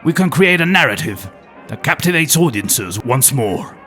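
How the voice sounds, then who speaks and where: A man's voice: mid-range voice, big pitch swings, moderate pace, a bit bright, soft background music. A man playing a wry old ex-TV explorer. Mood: sly. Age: older. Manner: wry, conspiratorial, world-weary. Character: aging male former nature documentary host.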